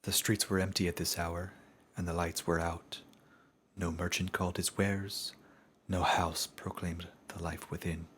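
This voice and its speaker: Man, low-pitched, slow, husky and breathy, solemn audiobook narrator, dramatic storytelling. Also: little pitch movement, a bit bright, slight background noise.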